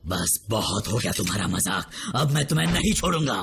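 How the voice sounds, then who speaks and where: A man's voice: on the low side, big pitch swings, brisk, creaky, noisy background. A man voicing a cruel, commanding robot villain interrogator in a Hindi cartoon dub. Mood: angry, menacing.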